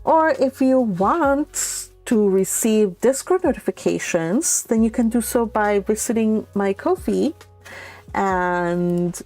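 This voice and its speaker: A woman's voice: mid-range voice, expressive, takes it slowly, darkish tone, soft background music. A woman hosting a read-along, reading aloud and chatting informally with her listeners. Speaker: read-along host reading a classic novel and commenting. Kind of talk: storybook narration and casual livestream chat.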